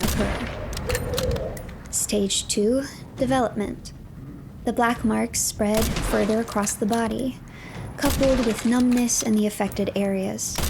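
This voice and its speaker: A woman narrating a game story as a streamer, reading files aloud in a measured, formal way. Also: mid-range voice, vocal fry.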